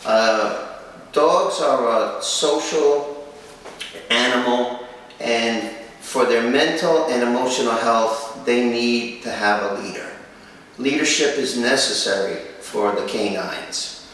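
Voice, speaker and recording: A man presenting and interviewing for a dog training video as host, with a firm, instructive manner and short, paused phrases. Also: medium pitch, a bit bright. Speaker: kennel owner and host. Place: room in a home. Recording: echoey room, distant mic, faint noise.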